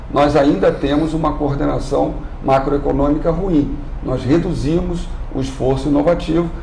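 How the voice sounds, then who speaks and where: A man speaks in a formal, measured way as an institute executive on live TV. His voice is gravelly, and he often clears his throat. The sound is roomy, with faint background noise. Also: mid-range voice, a little mellow. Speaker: industry training institute executive. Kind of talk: live TV interview.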